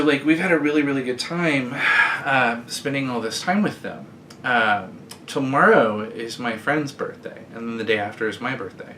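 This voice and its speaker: A man's voice: fairly low pitch, sharp tone, a little hiss or hum, poor audio. A man in his late thirties chatting casually to camera from indoors, rambling and joking at his own expense. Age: 30s. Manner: chatty, rambling and self-deprecating. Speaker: vlogger. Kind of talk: casual direct-to-camera chat. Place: indoors, sitting against a bed frame.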